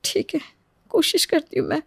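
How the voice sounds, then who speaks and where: A woman's voice: moderate pace, no echo, studio quality, natural voice. A woman playing a meek, wronged young daughter-in-law in a story, plaintive and earnest. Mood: hurt, earnest. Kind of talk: Hindi moral story voice acting.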